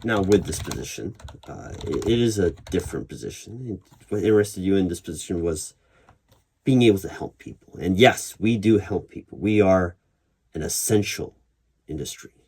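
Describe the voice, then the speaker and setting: A man with a very low, husky voice performs an ASMR interview roleplay. He questions in a measured, probing manner, leaving deliberate pauses as he goes. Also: animated, darkish tone. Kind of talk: ASMR roleplay, one-sided job interview. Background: computer keyboard typing.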